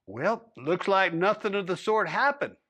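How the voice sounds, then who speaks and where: A man's voice: high voice, big pitch swings, measured pace, a bit bright, lo-fi sound, exaggerated voice. A man voicing a sly old-west male rancher with a folksy who dodges questions. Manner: folksy, dry, evasive drawl.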